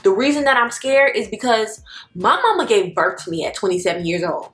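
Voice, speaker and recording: A woman's voice: medium pitch, very animated, fairly quick, a bit bright. A woman in her twenties from Florida, chatting casually and playfully to her viewers while getting ready, now and then slipping into song. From Florida, United States. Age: 20s. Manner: chatty, playful, drawls slang and sings lines. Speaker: vlogger. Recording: echoey room with faint music.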